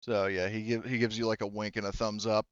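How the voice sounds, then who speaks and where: A man running a tabletop roleplaying game as game master, casually narrating and chatting in a rambling, halting way. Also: on the low side, level tone, clear, resonant tone, dry recording, highs cut off.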